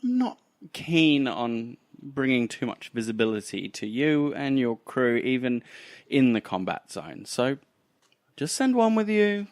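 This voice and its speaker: A man voicing an aloof, politely dismissive female crime boss in a cyberpunk game, speaking in a measured way. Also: mid-range voice, very animated, no room sound. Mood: measured, cagey. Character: aloof, cagey crime boss called Duchess, a woman.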